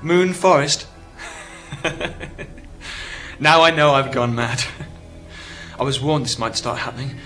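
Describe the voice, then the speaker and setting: An actor with a British accent playing a lonely, stranded astronaut in a radio drama, anxious, halting and incredulous. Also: male voice, mid-range voice, bright, forceful tone. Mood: startled, incredulous. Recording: prominent music behind the voice.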